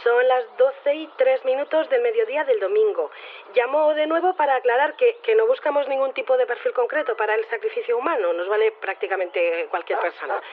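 A woman's voice: on the high side, a little fast, lightly acted. Actress as female scientist, audio drama, polite, matter-of-fact, narrow phone sound, some hiss. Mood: polite, businesslike. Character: matter-of-fact female geneticist, casually recruiting for sacrifice. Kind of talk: fiction podcast, phone announcement. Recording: tinny, noisy phone message.

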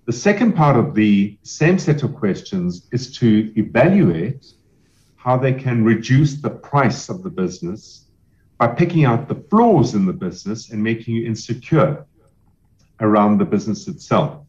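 A man advising on selling a business, speaking in a measured, explanatory way with emphatic repetition. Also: lowish voice, very animated, a little mellow, muffled recording. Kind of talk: podcast interview answers.

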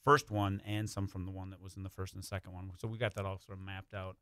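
A man's voice: low voice, flat delivery, quick pace, bright, forceful tone, no room sound. An American man chatting casually as a guest writer on a radio show, speaking close to a studio microphone. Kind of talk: casual radio interview chat.

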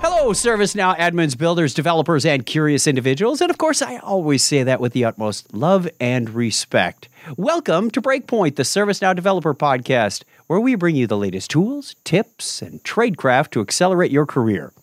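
A man's voice: on the high side, fairly quick, hardly any pauses, no echo, clean studio sound. A man hosting a podcast in a casual, joking interview chat, given to wandering off on tangents. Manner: lively, jokey, tangent-prone. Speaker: podcast host.

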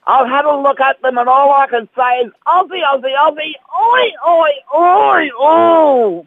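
Very high, creaky gushing showbiz gossip. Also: male voice, measured pace, phone-quality sound. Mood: opinionated, cheeky. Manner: theatrical, cooing, excitable. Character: gushing, flamboyant, giggly male showbiz gossip reporter. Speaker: entertainment reporter. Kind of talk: comic radio character interview.

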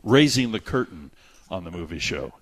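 A man's voice: deep voice, very animated, normal speed, a bit bright. An American man hosting a radio show about movies, chatting in a casual, jokey and rambling way. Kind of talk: casual movie review chat.

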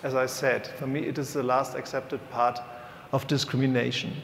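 A man co-hosting a conference panel, speaking in a halting, conversational way. His voice is gravelly with heavy fry. It rings through a roomy, echoing hall with a long reverb and a faint bed of noise. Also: medium pitch, little pitch movement, a bit bright. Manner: halting, conversational, hands off to partner. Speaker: co-presenter and moderator.

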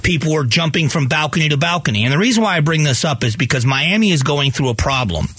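A man's voice: on the high side, lively intonation, fast, a bit bright, breathy edge, no echo. An American man in his fifties from Florida, hosting a talk radio show with opinionated monologues that repeat phrases for emphasis. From Florida, United States. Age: 50s. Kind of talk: conversational radio monologue on news. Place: radio studio.

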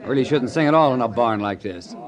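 A man's voice: medium pitch, expressive, fairly quick, close to an everyday voice. An actor playing a vain, self-important male singer with an affected, grandiose manner in a 1950s radio drama. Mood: petulant. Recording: vintage 1950s radio recording.

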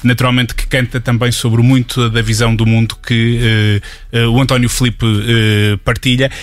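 A man's voice: medium pitch, flat delivery, normal speed. A man with a European Portuguese accent hosting a talk show, asking long, playful questions with many fillers. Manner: jokey, wordy questions with fillers. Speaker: host.

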